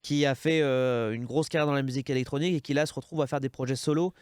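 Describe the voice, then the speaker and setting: A man's voice: medium pitch, steady tone, fast talker, darkish tone, smooth, dry recording, studio quality. A man in his thirties with a French accent, hosting a casual interview and rambling with many restarts. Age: 30s. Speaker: podcast host.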